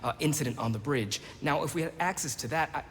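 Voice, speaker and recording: A man's voice: on the high side, quick pace, a bit bright, close to an everyday voice. An actor playing a hesitant, apologetic male lab scientist in a dramatic video game scene, nervous and deferential. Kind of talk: dramatic game cutscene acting. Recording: reverberant hall, faint noise.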